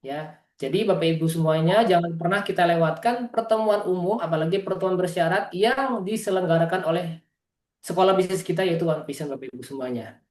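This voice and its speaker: A man's voice: high-pitched, fairly quick. Earnest man presenting on a roomy call. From East Java, Indonesia. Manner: earnest, keeps addressing the audience directly. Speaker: business presenter at an online meeting. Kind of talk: motivational business presentation. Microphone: video call microphone.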